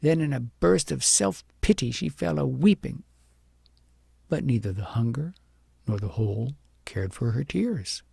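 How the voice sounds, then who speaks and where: A man narrating an audiobook fairy tale expressively, with deliberate, dramatic emphasis. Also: mid-range voice, expressive, creaky, mellow, husky, no room sound, studio quality.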